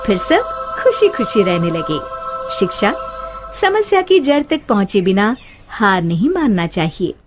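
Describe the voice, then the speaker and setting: A woman narrator delivers a scripted moral story for children. Her medium-pitched voice moves expressively. Music plays softly behind her. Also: sing-song, unhurried.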